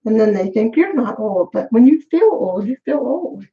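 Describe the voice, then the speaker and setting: A woman's voice: a little high, lively intonation, normal speed, dull audio. A middle-aged woman vlogging in a candid, rambling chat, short of breath as she braids her hair. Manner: rambling, candid, talks to herself. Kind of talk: casual chat. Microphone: camera while braiding her hair.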